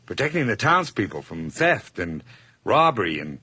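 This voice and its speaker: A man's voice: on the low side, very animated, moderate pace, pushed and bright, husky, no room sound, heavily acted. An actor playing a nervous, overly eager hired man who stammers through forced cheer in a radio drama. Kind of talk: 1980s radio drama acting.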